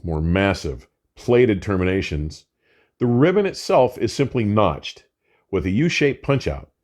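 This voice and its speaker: An older man presenting a scripted product review in a measured, emphatic way with formal wording. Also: deep voice, darkish tone. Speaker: audio equipment reviewer and host.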